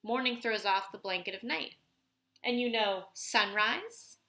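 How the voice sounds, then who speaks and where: Storybook elder played by a woman: wise old grandfather, patient, measured delivery, reassuring, bright tone. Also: a little high. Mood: patient, teaching. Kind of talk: storybook read aloud.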